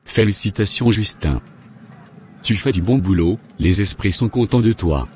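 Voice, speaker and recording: A man's voice: lowish voice, expressive, normal speed, a little mellow, a little hiss or hum, lightly acted. A man voicing a callous, domineering servant of evil spirits in a cartoon. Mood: approving. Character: callous male henchman of evil spirits, giving orders. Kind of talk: dramatic animated dialogue.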